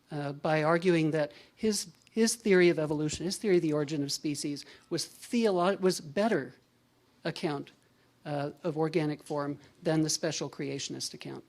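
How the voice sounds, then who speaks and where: Gravelly-voiced male professor. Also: a little high, a little mellow. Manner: measured, scholarly, thinks aloud with restarts. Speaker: professor lecturer. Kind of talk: academic lecture and Q&A answer.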